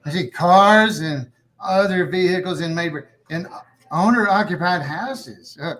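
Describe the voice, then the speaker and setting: A man's voice: high voice, a little slow, a bit bright, low-quality recording. A man playing a chatty, genial small-town official in a sitcom table read, rambling in a folksy way and repeating himself. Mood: delighted, fascinated.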